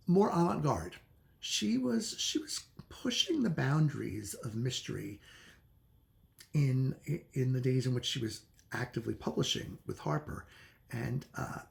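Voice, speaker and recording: Man with heavy vocal fry, chatting casually. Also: medium pitch. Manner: hesitant, searching for words. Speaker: book reviewer. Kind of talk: casual unscripted book review to viewers.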